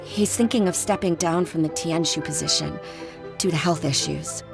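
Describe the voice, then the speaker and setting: A woman's voice: sits low, normal speed, vocal fry. A worried young woman. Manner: soft, troubled, sighing. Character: young woman worrying about her aging father. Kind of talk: game voice acting. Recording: game dialogue with music behind.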